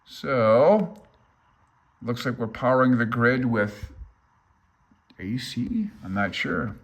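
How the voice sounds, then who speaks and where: A man's voice: medium pitch, expressive, slow pace, gravelly. An older man gives a casual, unscripted read-along explanation as a hobbyist presenter, rambling, thinking aloud and openly unsure of himself. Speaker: amateur radio hobbyist presenting a handbook.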